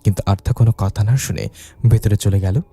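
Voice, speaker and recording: A man's voice: on the low side, fairly even intonation, fairly quick, dark tone, slightly rough, no echo, soft background music. A man narrating a ghost story in a dramatized storytelling style.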